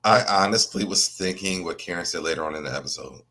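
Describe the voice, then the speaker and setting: A man with a deep voice co-hosting a casual recap chat, asking lots of questions. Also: animated, fast, sharp tone. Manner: inquisitive, asks follow-up questions. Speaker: recap co-host.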